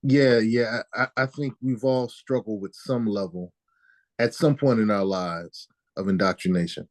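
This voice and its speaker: A man's voice: lowish voice, measured pace, a little mellow. A man in the class adding a thoughtful, agreeing comment. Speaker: class participant.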